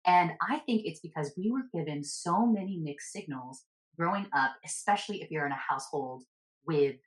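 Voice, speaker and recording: A woman from the United States, a guest in a casual conversation, telling things in a lively way and saying "like" often. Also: sits low, moderate pace. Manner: lively storyteller, heavy use of "like". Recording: echoey room.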